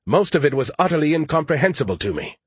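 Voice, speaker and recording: A man's voice: fairly high pitch, sing-song, fast, slightly rough, no room sound, pitched above the performer's own voice, heavily acted. A man voicing a smug, condescending male artificial intelligence in a pompous, mocking, theatrical way for a story. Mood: exasperated, sneering.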